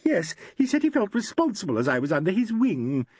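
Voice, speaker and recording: A man's voice: high voice, wildly swooping pitch, fairly quick, darkish tone, no room sound, pitched above the performer's own voice, heavily acted. A man voicing a hysterical young actress who wails and sobs. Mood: tearful. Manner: sobbing, wailing.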